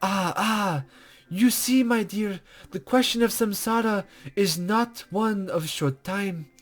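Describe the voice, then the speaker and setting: A man voicing a cryptic, serene female guide to the afterlife from a visual novel, measured, formal and gently condescending. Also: high-pitched. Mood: solemn, knowing. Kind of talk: character reading from a visual novel.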